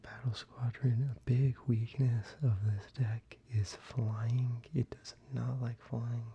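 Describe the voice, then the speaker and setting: An ASMR creator whispering softly and closely, with frequent ums and restarts while showing their collection. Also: mid-range voice, little pitch movement, slow pace, slightly rough, lo-fi sound.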